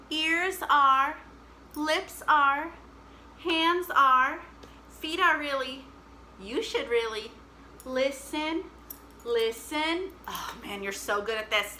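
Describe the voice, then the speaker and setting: A woman with a high, bright voice leads a storytime for young children. She is animated and encouraging, addressing the children directly in a playful way. There is clear background noise in the recording. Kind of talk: interactive children's musical storytime.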